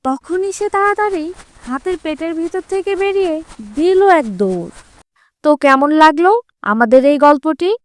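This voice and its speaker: A woman's voice: sits high, expressive, unhurried. A woman narrating a scripted children's fable as a storyteller.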